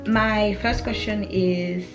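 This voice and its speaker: A woman in her twenties from Africa, chatting casually to camera as a vlogger, relaxed and full of fillers and restarts, with music behind her. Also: medium pitch, slow pace. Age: 20s.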